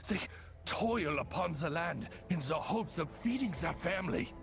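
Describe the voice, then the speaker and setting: A zealous rebel leader in a fantasy game. His delivery is slow, formal and impassioned, swinging widely in pitch with a gravelly creak. Music plays underneath. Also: lively intonation. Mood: earnest, impassioned. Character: defiant male rebel leader, zealous and righteous. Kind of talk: dramatic game voice acting.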